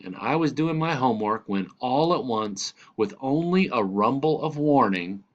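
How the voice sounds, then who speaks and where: A man's voice: medium pitch, voice pushed up, natural voice. A man voicing a candid, wry grandfather who tells his grandson a storybook tale with dramatic emphasis, in a slightly dull recording. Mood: dramatic, reminiscing.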